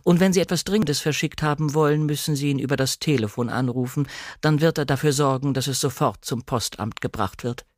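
A man voicing a coolly deferential female housekeeper of a manor in an audiobook drama, with formal, measured politeness. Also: mid-range voice, no echo, studio quality, pitched above the performer's own voice, close to an everyday voice.

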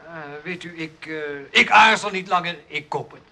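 An actor playing an effusive, gullible, well-to-do notary with fussy, exclaiming manners in a Dutch radio play. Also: male voice, high voice, moderate pace, gravelly. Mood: excited, impressed. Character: excitable, gullible, well-to-do male notary, politely haggling. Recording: faintly noisy old radio recording.

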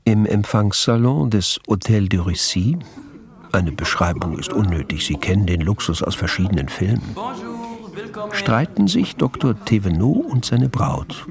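A wry storyteller narrating a radio play. His voice is gravelly with plenty of creak and sits fairly low, delivering scripted prose with irony. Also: big pitch swings, unhurried, exaggerated voice. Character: wry, ironic male literary storyteller.

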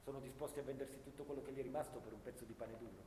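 A man's voice: mid-range voice, fairly even intonation, rapid-fire, natural voice. Echoing haunted soldier, acted by a man in radio drama. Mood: weary, pitying. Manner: dramatic first-person storytelling, swinging from hushed to intense. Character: young male First World War soldier, haunted, bitter, anguished. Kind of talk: theatrical radio drama monologue. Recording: roomy, long reverb, clear background noise.

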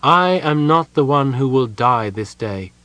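A man reads as a frail young girl prisoner in a fantasy story, pitched clearly higher than his narration. She is earnest and cool, with iron conviction beneath it. The recording is dry and close. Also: animated, measured pace, sharp tone, no room sound. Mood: fervent, certain. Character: frail young human girl prisoner, serenely devout and defiant.

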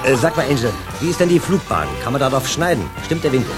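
An actor playing a bossy, impatient television director who barks brisk orders in a radio drama. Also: male voice, mid-range voice, music in the background, natural voice. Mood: satisfied, businesslike. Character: bossy, impatient male TV director staging football scenes.

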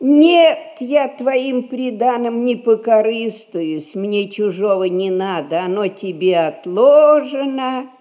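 An actress playing a stern, rich old grandmother who rules a merchant household, speaking in measured, folksy old-fashioned phrases in a stage comedy. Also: on the high side, mellow. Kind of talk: Russian stage comedy recorded as radio play.

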